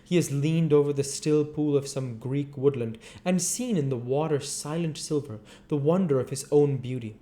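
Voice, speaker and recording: A man voicing an earnest, devoted, brooding gentleman painter from a novel, with an intense and sincere manner. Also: fairly high pitch, moderate pace, hardly any pauses, close to an everyday voice.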